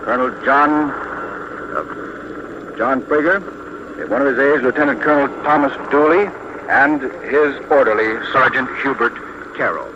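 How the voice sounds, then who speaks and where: A noisy, muffled 1940s radio broadcast carries an American man reporting live from outdoors. His voice is fairly high, and he announces in a formal, measured way, phrasing each detail deliberately as a correspondent on the scene. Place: outdoors on a warship deck. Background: loud noise, aircraft drone.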